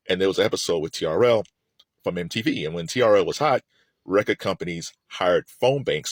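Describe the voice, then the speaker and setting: Low-pitched man with animated, swooping intonation. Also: breathy edge, no room sound. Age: middle-aged. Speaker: music commentator. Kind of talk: opinionated unscripted commentary to listeners.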